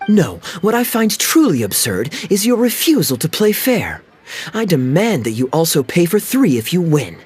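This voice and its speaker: A man's voice: on the high side, wildly swooping pitch, moderate pace, a little mellow, husky, faint music behind, full character voice. A man voicing a charming, mischievous young nobleman in a game cutscene, who is teasing and playfully commanding. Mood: indignant. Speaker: wealthy visitor at a tea house.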